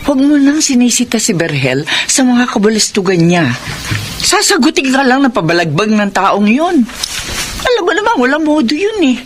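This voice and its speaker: An actress playing an exasperated, sympathetic village elder in a Filipino radio drama, frank and admonishing. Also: female voice, fairly high pitch, big pitch swings, moderate pace. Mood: exasperated, advising. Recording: radio drama with clear background noise.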